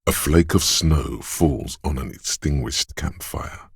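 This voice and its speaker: A man voicing an inner sense of perception in a video game, narrating in a hushed, husky, deliberate and poetic way, savoring each sound. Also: a bass voice, no room sound, studio quality, heavily acted. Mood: hushed, watchful. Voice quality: husky, gravelly, breathy. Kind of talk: atmospheric scripted game narration.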